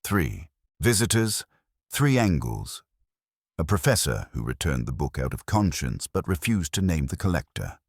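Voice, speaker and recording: A man's voice: rumbling low, very animated, moderate pace, gravelly, husky, dry recording, clean studio sound. A man narrating a scripted first-person detective story in a wry, hard-boiled manner. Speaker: audiobook narrator.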